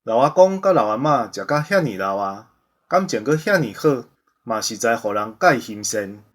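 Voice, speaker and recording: A man with a Taiwanese accent, hosting radio with a grandiose, earnest sales pitch. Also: big pitch swings, moderate pace. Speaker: radio host pitching a product.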